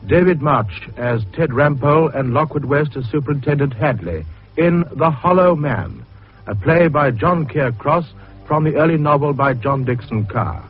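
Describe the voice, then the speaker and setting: Formal British radio announcer, higher-pitched man. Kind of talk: formal 1950s broadcast credits announcement. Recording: muffled 1950s radio recording.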